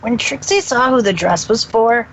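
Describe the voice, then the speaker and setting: A man's voice: extremely high pitch, moderate pace, a bit bright, a little hiss or hum, pitched above the performer's own voice, low-quality recording. A man voicing a sheepish young male dragon, hesitant and earnest, in a fan fiction reading. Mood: concerned.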